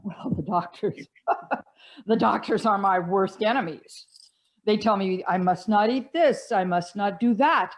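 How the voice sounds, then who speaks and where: A woman's voice: mid-range voice, a little slow, close to an everyday voice. A woman playing a proud, jealous, aging society matron in a stage comedy, theatrical and emphatic. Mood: proud, indignant. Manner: theatrical, emphatic, grandly indignant. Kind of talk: stage comedy acting, one-act play.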